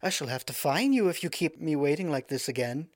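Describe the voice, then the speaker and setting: A man voicing a young, gracious princess, speaking in clear, ringing tones, slow and stately. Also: a little high, expressive, no room sound, pitched above the performer's own voice, close to an everyday voice. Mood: playful.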